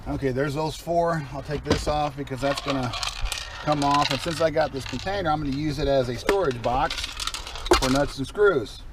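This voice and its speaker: A man's voice: on the high side, normal speed, very noisy. A man giving a folksy, informal hands-on tutorial in a casual, reassuring way while working in a vehicle. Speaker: DIY vlogger demonstrating a car repair. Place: inside or beside a Jeep.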